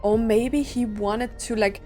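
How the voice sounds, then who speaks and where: A woman's voice: on the high side, steady tone, takes it slowly, a little mellow. Woman musing aloud over game music. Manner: thinks aloud, questioning. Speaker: gaming streamer reacting to a story quest. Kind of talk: casual reaction commentary.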